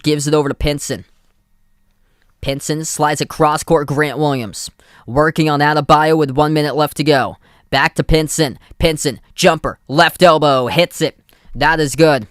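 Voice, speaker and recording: A man's voice: fairly high pitch, clean tone, no echo, clean studio sound. Boston-accented man calling a game. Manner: steady running commentary in short clipped phrases. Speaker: live play-by-play streamer. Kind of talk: amateur play-by-play commentary.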